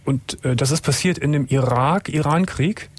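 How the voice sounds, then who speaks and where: A man hosting a late-night radio call-in show, asking a caller calm, probing questions. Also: fairly high pitch, normal speed, husky. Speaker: radio talk show host.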